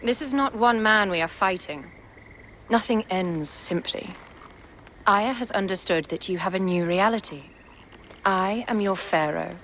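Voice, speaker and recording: Young queen, imperious, regal, commanding, mid-pitched, cinematic game, noisy background. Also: measured pace, a bit bright. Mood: commanding, calm.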